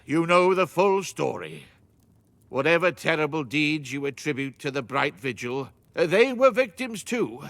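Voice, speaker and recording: A man's voice: a little high, very animated, measured pace, fairly bright tone, heavily acted. A man playing an ominous, theatrical storyteller in a fantasy video game, foreboding in manner. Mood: wary, foreboding. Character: ominous, theatrical storyteller of a cursed village's tragedy. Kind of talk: dramatic video game narration. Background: music.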